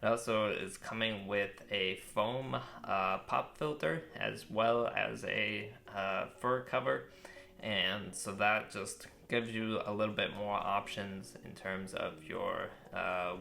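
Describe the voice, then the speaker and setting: A young man with a very low voice casually reviewing a microphone outdoors, relaxed and thoughtful, with frequent ums and uhs. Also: vocal fry. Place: outdoors with birds nearby. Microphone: shotgun mic on phone.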